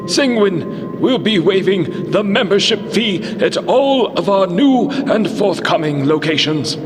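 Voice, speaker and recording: A man playing a slick philanthropist vampire lord in an audio drama, with a polished, formal, corporate way of speaking. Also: sits high. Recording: long hall reverb, music underneath.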